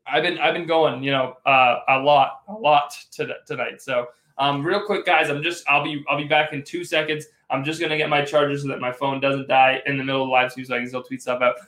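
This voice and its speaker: A young man hosting a casual live postgame sports stream, his voice going hoarse, rambling with lots of restarts as he reads out viewer comments. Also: medium pitch, flat delivery, brisk, fairly bright tone, reverberant. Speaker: live postgame show host.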